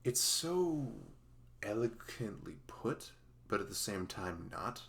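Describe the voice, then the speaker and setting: A man's voice: lowish voice, sing-song, slow pace, a bit bright, slight background noise, full character voice. A man playing a dorky, nervous young best friend in a conversational ASMR roleplay, rambling and stammering with self-deprecating humor. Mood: tender, admiring.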